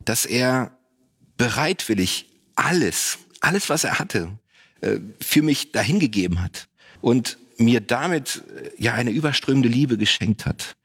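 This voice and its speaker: A man, a father, sharing faith reflections on a church panel, slow and searching with many pauses. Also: mid-range voice, lively intonation, a bit bright, husky.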